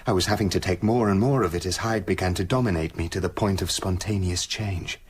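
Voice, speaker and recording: An actor playing a tormented British gentleman scientist confessing his dark side in a brooding, literary radio drama narration. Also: deep voice, brisk, slightly rough. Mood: grave, confessional.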